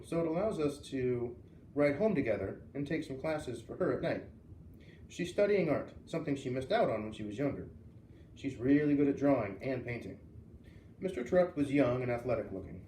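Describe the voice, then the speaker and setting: A man's voice: medium pitch, mellow. A man reading a children's novel aloud as a storyteller, expressively acting out the dialogue. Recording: roomy, distant mic with faint hiss.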